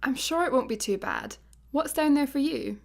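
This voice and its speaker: Scottish space worker in a radio comedy, highish voice, laid-back, dry and literal. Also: female voice, animated, moderate pace, gravelly. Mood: chatty. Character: laid-back, literal-minded female space satellite employee. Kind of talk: sci-fi comedy radio play acting.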